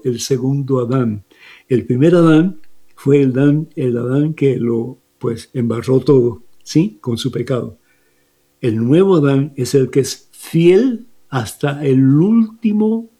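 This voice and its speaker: A man's voice: medium pitch, warm tone, slightly rough. A man, a priest on a radio program, explaining church teaching in an emphatic, questioning way. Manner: explanatory, emphatic, asks listeners "¿sí?".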